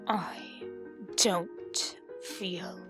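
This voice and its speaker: A woman's voice: lowish voice, fairly even intonation, very slow. A woman playing a sarcastic, combative, articulate interviewer in an amateur comic dub. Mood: scornful. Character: scathing skeptical woman interviewer, sweary and combative. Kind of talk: amateur fandub voice acting. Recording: music behind the voice.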